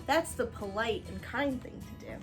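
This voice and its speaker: A woman reads a picture book to young children as their teacher. Her voice is high and very animated, and she pauses to explain and ask questions. Soft music plays behind her. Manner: expressive, instructive, addressing young viewers directly. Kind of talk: storybook read-aloud with explanations for young children.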